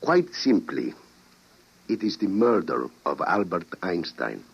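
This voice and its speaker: An actor playing a grave, persuasive president with a solemn, deliberate delivery in an old radio drama. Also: male voice, sits low, sing-song, gravelly, husky, exaggerated voice. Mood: grave, matter-of-fact. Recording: muffled vintage radio drama recording.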